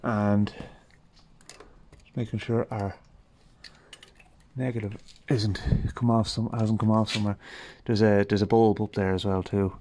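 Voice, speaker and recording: A man's voice: on the low side, flat delivery, measured pace, pauses often, mellow. An Irish man giving casual, hands-on repair commentary, thinking aloud in an informal way with wry understatement. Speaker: host of a radio repair video. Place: garage. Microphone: handheld phone.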